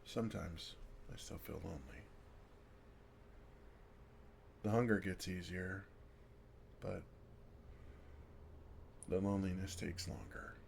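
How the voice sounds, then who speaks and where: Kind older male vampire, acted by a man for comfort ASMR: deep, soft-spoken, gently teasing, faint noise behind. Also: unhurried, creaky, pauses often. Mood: tender, wistful. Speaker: ASMR roleplay performer. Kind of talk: intimate ASMR roleplay, second-person comforting.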